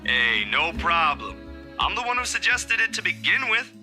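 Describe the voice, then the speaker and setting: Friendly young guy in a visual novel, higher-pitched, lively, over music. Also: moderate pace, exaggerated voice. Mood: easygoing, reassuring. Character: friendly, easygoing young man on the phone. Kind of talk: visual novel game voice acting. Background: game music with guitar.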